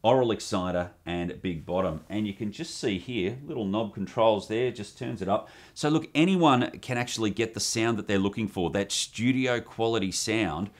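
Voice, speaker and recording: A man with an Australian accent presenting an enthusiastic microphone review at his home studio desk, talking into a condenser on a boom arm. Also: moderate pace, few pauses. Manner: enthusiastic, upbeat presenter delivery. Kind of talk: product review demo. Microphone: USB condenser on boom arm with pop screen.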